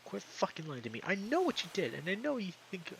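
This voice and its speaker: A man voicing an enraged, accusing male animal character in a visual novel, shouting and swearing in confrontation. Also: high-pitched, huge pitch swings, brisk, gravelly, a little hiss or hum, voice pushed up, exaggerated voice.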